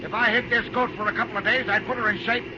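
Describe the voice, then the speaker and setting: A man's voice: very high voice, little pitch movement, fast talker. An actor playing a cocky, scrappy railroad fireman with slangy, combative talk in an old radio drama. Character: brash, scrappy railroad fireman Spider McGee, cocky and hard-working. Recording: noisy dull vintage radio recording.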